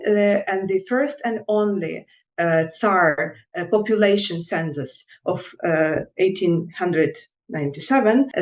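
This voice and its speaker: A woman researcher, giving measured academic answers in an interview with frequent pauses. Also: medium pitch, roomy sound, rough recording. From Ukraine.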